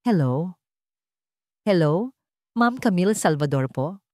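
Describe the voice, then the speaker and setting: A woman's voice: fairly low pitch, expressive, slow, mellow, no room sound, studio quality. A woman voicing a panicked but polite female school nurse, urgent and respectful, in a story drama.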